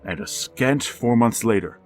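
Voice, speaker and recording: An actor playing a lonely, embittered widowed father who speaks in a measured, formal, brooding way. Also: medium pitch, expressive, soft background music, close to an everyday voice. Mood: grave. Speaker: narrator of the story. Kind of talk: 1940s radio drama narration.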